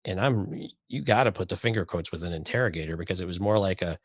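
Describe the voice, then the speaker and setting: Male co-host, lower-pitched, dry close sound, conversational and opinionated with a wry, incredulous edge. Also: fast, husky, dry recording. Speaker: podcast co-host. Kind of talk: casual opinionated chat.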